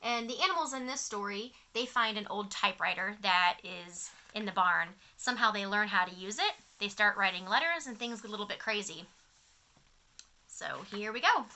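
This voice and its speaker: A woman's voice: mid-range voice, animated, normal speed, bright, forceful tone. A woman reading a picture book aloud to children expressively, in simple phrasing, as a storytime reader. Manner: asks young listeners questions, simple clear phrasing.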